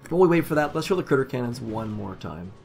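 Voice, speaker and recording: A man's voice: medium pitch, big pitch swings, quick pace, gravelly, a little mellow, faint music behind. A man, likely in his thirties, casually commenting on his own mobile gameplay at home, thinking aloud and poking fun at himself. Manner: chatty, self-deprecating, thinks aloud. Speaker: gaming channel host. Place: home room.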